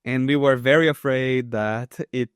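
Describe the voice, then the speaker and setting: A man's voice: mid-range voice, fairly even intonation, unhurried, clear, resonant tone, no room sound, clean studio sound. A young adult man with a Brazilian accent, chatting casually about work as a co-host. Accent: Brazilian Portuguese. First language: Portuguese.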